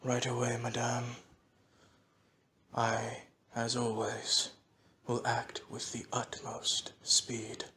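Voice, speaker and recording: A man plays a formal, dutiful servant in an audio drama. He speaks very slowly in an utterly flat tone with a crisp bright edge, stiff and drily obedient. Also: mid-range voice.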